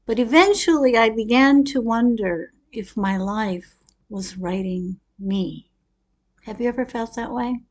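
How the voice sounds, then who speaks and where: A middle-aged woman tells a personal story in a prepared, reflective way. Her voice sits a little high, and she takes her time, shaping phrases expressively with dramatic pauses. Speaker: speaker telling her own life story.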